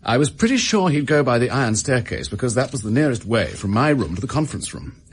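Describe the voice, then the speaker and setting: An actor with an English accent playing a desperate, bitter office worker making a halting confession in a radio drama. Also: male voice, medium pitch, very animated, husky. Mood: bitter, defiant.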